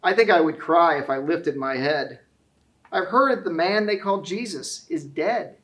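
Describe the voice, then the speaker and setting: A man's voice: fairly high pitch, unhurried, fairly bright tone. A kindly old grandfather from a children's storybook, voiced by a man. He is a former shepherd, sorrowful and tender, and he tells his memories in earnest rhyme. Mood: mournful. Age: older.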